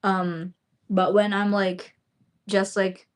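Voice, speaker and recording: A woman's voice: medium pitch, flat delivery, slow pace. A woman from the Philippines, a Bisaya speaker, chatting casually on a livestream and rambling with lots of fillers and casual swearing.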